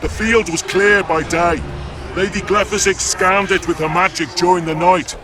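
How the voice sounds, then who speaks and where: An actor playing a deferential army captain explaining himself in a video game. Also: male voice, high-pitched, steady tone, normal speed, natural voice. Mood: defensive. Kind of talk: dramatic video game acting. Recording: loud battle crowd behind.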